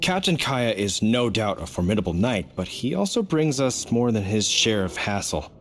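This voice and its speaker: A man's voice: fairly low pitch, sing-song, normal speed, faint music behind. An actor playing a dutiful male knight in a video game, polite and formal but hesitant and easily embarrassed. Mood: flustered.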